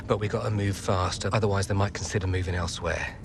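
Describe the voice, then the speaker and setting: A man's voice: low-pitched, fairly even intonation, fast talker, gravelly. A man playing a persuasive, pushy football agent in a video game cutscene, with music behind. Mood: pressing. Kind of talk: cinematic game cutscene acting.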